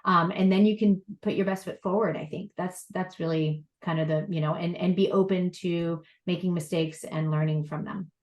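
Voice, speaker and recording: A woman's voice: on the low side, fairly even intonation, normal speed, few pauses. A woman professor speaking on a panel, talking in a loose, rambling way with many fillers and restarts. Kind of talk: casual panel discussion. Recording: roomy echo.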